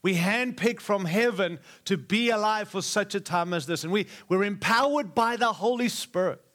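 Man, middle-aged, preacher, fairly high voice, rousing, repeats phrases. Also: normal speed, fairly bright tone. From Australia. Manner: exhortative, repeats phrases, jokes with congregation. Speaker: guest preacher. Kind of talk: sermon to a live church.

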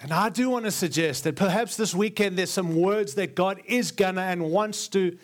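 A man's voice: sits high, moderate pace. A man with an Australian accent preaching a sermon as a guest speaker to a live congregation, earnest and emphatic, stacking repeated phrases to build his point. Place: large church before a live congregation.

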